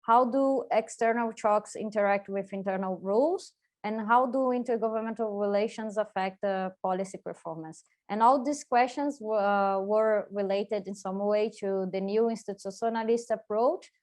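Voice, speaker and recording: A woman's voice: medium pitch, normal speed, a little mellow. A woman from Brazil with a strong Brazilian Portuguese accent, giving an academic presentation on a video call, with frequent "uh"s and restarts. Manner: hesitant, many filler "uh"s and restarts. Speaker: postdoc researcher presenting.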